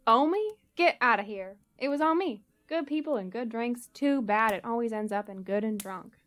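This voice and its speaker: A woman's voice: on the high side, expressive, normal speed, fairly bright tone. A woman playing a kind, plainspoken rural moonshiner in an audio drama, casual and wry. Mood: breezy.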